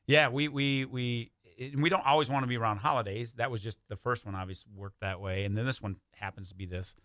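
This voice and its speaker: Bright-voiced American man in radio interview. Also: mid-range voice, fairly even intonation, brisk, no echo, studio quality. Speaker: radio play writer, guest. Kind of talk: casual radio interview chat. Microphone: close radio studio microphone.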